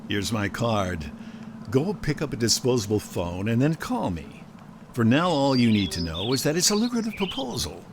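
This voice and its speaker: An actor playing a smug, sly mob lawyer who needles and insinuates, in a radio drama. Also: male voice, mid-range voice, sing-song, normal speed, background noise. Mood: sly, persuasive. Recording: outdoor ambience with birdsong.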